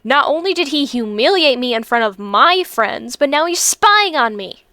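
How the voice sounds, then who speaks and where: A woman plays a spirited teenage girl in a comic dub. Her high voice is recorded very dry, and she comes across earnest, eager and a little defiant. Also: lively intonation, normal speed, bright, forceful tone, dry recording, full character voice. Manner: earnest, emotive. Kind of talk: earnest fandub acting.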